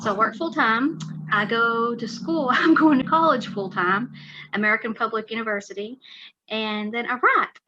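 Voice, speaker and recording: A woman's voice: on the high side, moderate pace, lo-fi sound, background noise. A woman in her forties from West Texas with a West Texas accent, chatting as an author guest with many fillers and restarts. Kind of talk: casual interview chat.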